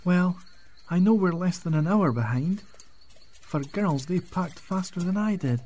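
A man's voice: sits high, lively intonation, measured pace, darkish tone. An actor playing an earnest young nobleman, speaking somewhat formally in an audio drama. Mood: worried. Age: young adult. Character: earnest, worried young nobleman riding out alone.

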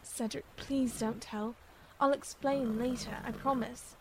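A woman playing a loyal young blacksmith in an audio drama, calm and reassuring in manner. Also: fairly high pitch, a little slow, slight background noise, rough recording. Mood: firm, concerned.

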